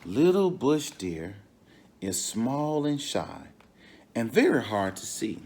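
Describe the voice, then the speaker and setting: A man reading a children's story aloud in an expressive way, with asides to his listeners. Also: mid-range voice. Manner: expressive, pauses to question and comment to the young audience. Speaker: storybook reader.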